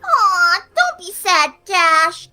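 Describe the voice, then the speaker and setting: A woman's voice: very high voice, sing-song, very slow, bright, forceful tone, full character voice. A woman voicing a cheerful, sadistic female cartoon pony, chirpy and childlike, in a horror fan fiction reading. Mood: playfully menacing.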